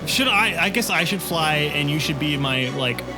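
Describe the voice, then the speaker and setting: A man's voice: a little high, expressive, moderate pace. A man playing a tabletop role-playing game, talking informally and thinking aloud about his next move. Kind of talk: casual game-table chat. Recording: loud music bed behind the voice.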